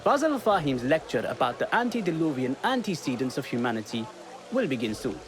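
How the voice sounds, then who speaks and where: An actor playing a courteous attendant in a video game, announcing in a polite, florid, formal way. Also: male voice, medium pitch, very animated, a little fast, over music, close to an everyday voice. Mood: welcoming. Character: courteous, formal male attendant welcoming lecture guests. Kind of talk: video game cutscene acting.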